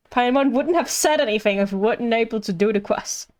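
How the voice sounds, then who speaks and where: A woman's voice: on the high side, darkish tone. A woman streaming a video game with casual, rambling commentary, playfully repeating her phrases. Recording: game music behind. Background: game music.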